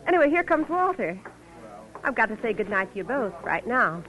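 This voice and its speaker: An actress playing a polite, refined, gently resisting well-to-do woman in an old-time radio drama, proper and hesitant. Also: fairly high pitch, sing-song, a little fast. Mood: demure. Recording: dull, noisy vintage radio recording.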